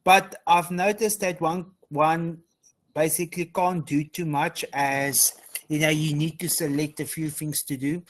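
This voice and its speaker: Earnest south african man, highish voice. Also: fairly even intonation, a little slow. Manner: earnest, repeats phrases like "as well" and "you know". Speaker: panelist, postdoctoral researcher and advocate. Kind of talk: panel presentation.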